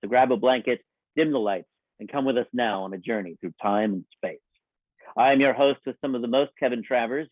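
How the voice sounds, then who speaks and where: A man's voice: mid-range voice, no echo, narrow, tinny audio. A man hosting and announcing a radio show in a wry, theatrical way, over thin band-limited sound. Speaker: host and announcer. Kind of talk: scripted radio-show introduction with ad-libs.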